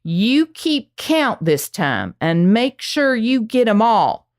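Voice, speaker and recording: Woman playing a slow-talking, ruthless outlaw boss lady. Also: a little high, very animated, full character voice. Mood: ordering, businesslike. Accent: American. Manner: commanding, threatening cowboy drawl. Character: ruthless, hot-tempered young female outlaw gang boss. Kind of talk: Western radio play acting.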